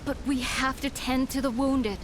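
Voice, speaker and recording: A woman's voice: high voice, steady tone, moderate pace, background noise, close to an everyday voice. An actress playing an earnest, distressed townswoman in a fantasy video game. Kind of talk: video game cutscene acting.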